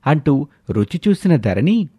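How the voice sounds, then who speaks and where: A man's voice: fairly high pitch, very animated, unhurried, dark tone, slightly rough, dry recording, clean studio sound. A man narrating a scripted moral story as a storyteller.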